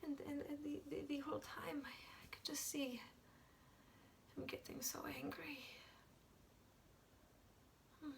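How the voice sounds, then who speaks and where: A woman acting a guilt-ridden, fragile Polish woman in a dramatic monologue, with a Polish accent and halting, stammering speech that often drops to whispers. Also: sits high, steady tone, vocal fry, far from the mic, a little hiss or hum. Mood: agitated, distressed. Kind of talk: dramatic film monologue, amateur.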